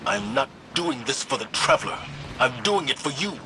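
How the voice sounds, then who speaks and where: An actor playing a solemn, earnest military commander haunted by grief in a video game drama. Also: male voice, high voice, very animated, normal speed, vocal fry, very noisy, full character voice. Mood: pained, defensive. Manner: grave, earnest. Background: music.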